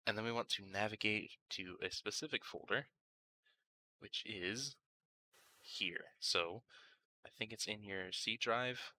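Low, bright-voiced man giving a tutorial. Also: unhurried, long pauses, a little hiss or hum, low-quality recording. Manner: informal, thinking aloud as he works. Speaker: tutorial host. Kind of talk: casual step-by-step software tutorial voice-over.

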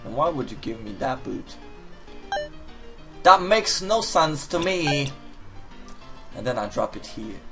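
A man's voice: medium pitch, slow pace, long pauses, over music. A man casually commenting while he plays a puzzle game, reading the hints aloud and joking as he goes. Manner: animated, reads on-screen hints aloud, jokey outbursts. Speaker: gaming video host. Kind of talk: casual let's-play commentary.

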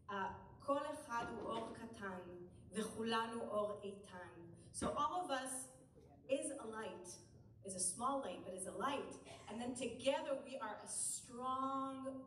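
A woman cantor with an Israeli accent, whose first language is Hebrew, introduces a song from the stage of a large hall full of people, speaking in a halting way with many fillers. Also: a little high, fairly bright tone, slight background noise. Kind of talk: live concert stage introduction. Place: large hall with a seated audience. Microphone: far camera at the back of the hall. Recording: echoey hall heard from far off.

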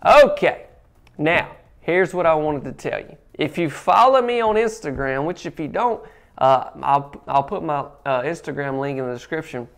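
A young man hosts a home-tour video, talking casually and warmly to camera. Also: fairly high pitch, clear, resonant tone. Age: young adult. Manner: friendly, chatty, animated with hand gestures. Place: indoors at a kitchen counter. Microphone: clip-on lapel mic.

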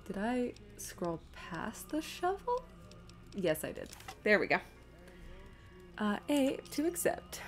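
A woman's voice: fairly high pitch, very animated, slow, long pauses, faint music behind. A woman streamer giving casual live gameplay commentary, chattily thinking aloud to herself and her viewers. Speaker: video game streamer.

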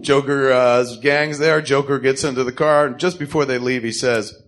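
A man narrates a story aloud in a casual, unpolished read. His pitch is average, and he goes along haltingly with filler pauses. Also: steady tone, fairly bright tone. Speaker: reader of narration and minor parts.

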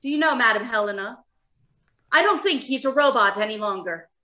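A woman playing an earnest, uneasy doctor of robot science in a radio drama, deliberate and emphatic. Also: a little high, animated, bright, forceful tone. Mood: grave. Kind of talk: old-time radio drama acting. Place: home, remote video call.

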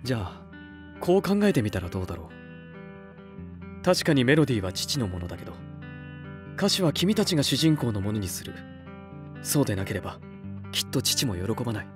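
An earnest, supportive music label head in an anime voice drama, acted by a man. He speaks in a measured, sincere way at a middle pitch, with faint music underneath. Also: sing-song, natural voice. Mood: encouraging. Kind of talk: Japanese anime voice drama acting.

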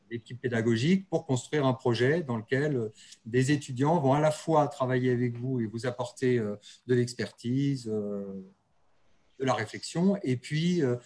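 A man of middle pitch speaks in a presentation to an audience. He hesitates often, filling gaps and restarting his sentences. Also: darkish tone. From France. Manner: hesitant, many fillers and restarts. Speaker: university research partnership officer. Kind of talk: conference presentation.

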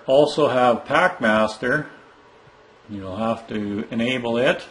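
A man giving a plain, step-by-step walkthrough of a monitor's setup screens, speaking from behind a handheld camera in an indoor room. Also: medium pitch. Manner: plain, instructive, some fillers and restarts. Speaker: product trainer.

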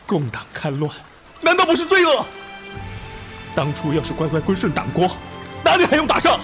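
A man's voice: high voice, huge pitch swings, moderate pace, fairly bright tone, heavily acted. A man playing a proud, passionate Nationalist army officer in a Chinese radio drama. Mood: defiant anger. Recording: music and rain behind.

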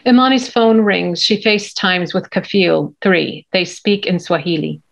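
A woman's voice: fairly low pitch, a little slow, vocal fry. A middle-aged woman reading screenplay stage directions as narrator on a video call. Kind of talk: scripted narration at a table read. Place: indoor home room, video call. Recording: dull video-call audio.

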